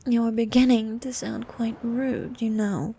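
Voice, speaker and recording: A woman playing a bossy, haughty ancient sea queen monster in an ASMR roleplay, with a soft, partly whispered voice and regal, condescending manner. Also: a little high, steady tone, breathy edge. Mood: imperious, offended. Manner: regal, condescending, formal, unhurried.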